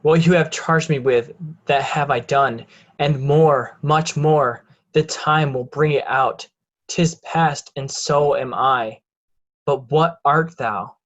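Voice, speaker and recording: An actor playing a dying male villain, a schemer turned repentant, in a halting Shakespearean stage reading. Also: medium pitch. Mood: resigned. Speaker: amateur actor in a reader's theatre.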